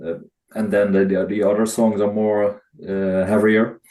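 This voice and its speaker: A man giving his view as a guest in a casual music chat, speaking haltingly and searching for words. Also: fairly low pitch, level tone, dark tone. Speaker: guest reviewer.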